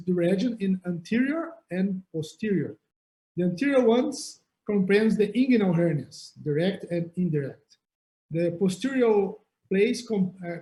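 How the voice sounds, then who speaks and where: Halting Brazilian-accented man lecturing. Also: sits high, warm tone, poor audio. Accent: Brazilian Portuguese. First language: Portuguese. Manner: halting, frequent repeated words. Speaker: surgeon lecturer. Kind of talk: online lecture. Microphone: video call.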